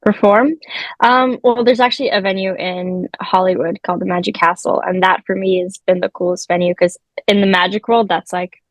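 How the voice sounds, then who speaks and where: A woman's voice: mid-range voice, steady tone, fairly quick, dry recording, rough recording. A nineteen-year-old woman, a magician chatting casually and upbeat as a guest over a remote call. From South Africa, raised in Vancouver. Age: late teens. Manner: chatty, upbeat, lots of fillers. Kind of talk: casual chat.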